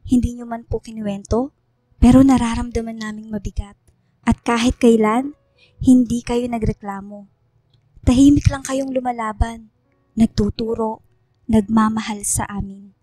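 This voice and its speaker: A woman playing a tender, earnest schoolgirl in a radio drama, speaking softly and sincerely. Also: on the high side, fairly even intonation, moderate pace, long pauses, dry recording, soft background music, lightly acted. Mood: heartfelt gratitude. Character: Lia, earnest, tender schoolgirl grateful to her teacher. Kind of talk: radio drama dialogue and heartfelt letter reading.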